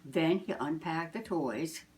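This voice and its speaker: An older woman reads a children's story as a grandmother would. Her voice is low, and she takes her time, telling it very slowly with deliberate expression. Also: a little mellow. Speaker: grandmother reading aloud.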